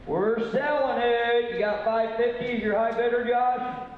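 A man's voice: very high-pitched, fairly even intonation, a little slow, narrow, tinny audio. A man working as a live auctioneer, folksy and blunt, talking straight to the bidders. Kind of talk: live auction sales patter. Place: large indoor auction room. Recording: very echoey hall, some background noise.

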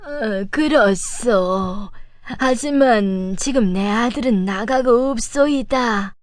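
A woman playing a poor, humble elderly mother in a children's folktale, speaking in a slow, halting, wary way. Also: fairly high pitch. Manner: slow, halting old-person speech. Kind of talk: children's animated folktale acting.

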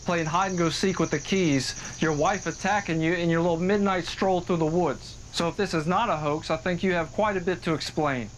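A man's voice: fairly high pitch, moderate pace, hardly any pauses, close to an everyday voice, rough recording. A man playing a blunt, skeptical police detective with a sarcastic, accusatory way of talking in a found-footage horror film. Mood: skeptical, stern. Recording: outdoor audio with insect noise.

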